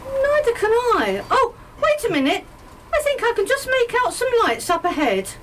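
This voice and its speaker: A woman's voice: very high voice, wildly swooping pitch, normal speed, very noisy, exaggerated voice. A woman acting a polite, questioning, faintly sceptical lady train passenger in an amateur radio play. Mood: wary.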